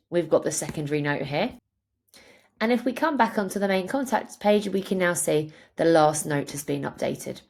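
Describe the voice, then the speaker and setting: A woman presenting a software tutorial, explaining each step in a clear, instructional way. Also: sits low. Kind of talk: screen-share tutorial narration, instructional.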